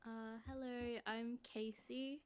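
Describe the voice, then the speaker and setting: Young aussie girl chatting casually on a podcast, voice a bit high. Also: flat delivery, takes it slowly, a little mellow, clean tone. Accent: Australian. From Australia. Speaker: podcast participant. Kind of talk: casual chat.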